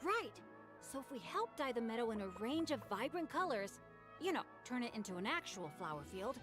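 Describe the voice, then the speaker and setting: A woman's voice: fairly high pitch, big pitch swings, a little fast, music in the background. A woman voicing a cheerful, kind young adventurer boy in an anime game. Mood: earnest.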